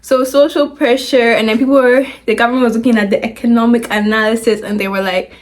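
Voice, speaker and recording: A young woman with a Ghanaian accent talks straight to her viewers in an easy, chatty explainer. Her voice sits a touch high, and she slips into little acted voices when she quotes people. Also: steady tone, fast talker. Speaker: video commentator. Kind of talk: casual explainer commentary.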